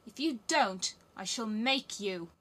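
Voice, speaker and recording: A woman's voice: medium pitch, expressive, moderate pace, fairly bright tone, natural voice. Woman playing a bossy, wild little robber girl. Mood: threatening. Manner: bold, commanding, emphatic. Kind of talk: storybook character voice.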